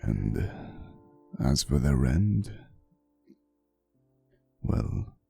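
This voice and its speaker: Man voicing a very deep, creaky, ancient vampire boyfriend. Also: sing-song, faint music behind, heavily acted. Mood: wistful, tender. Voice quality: very deep, gravelly. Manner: soft, unhurried, theatrical, old-fashioned wording. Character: centuries-old devoted male vampire boyfriend, gentle, faintly possessive. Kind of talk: intimate ASMR roleplay storytelling.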